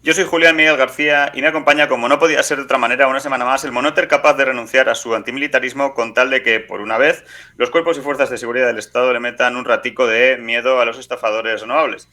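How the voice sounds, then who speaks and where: A man's voice: medium pitch, fairly even intonation, quick pace, sharp tone. A man hosting a political podcast, speaking in a sardonic, rhetorical way. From Aragon, Spain. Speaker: podcast host. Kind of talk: political podcast editorial and co-host banter.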